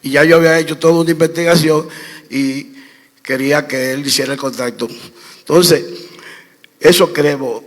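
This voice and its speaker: A man's voice: on the high side, steady tone, normal speed, pauses often. Hesitant man answering on a panel. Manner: anecdotal, with hesitations and restarts. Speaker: panelist, trade representative. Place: conference hall. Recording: reverberant event hall.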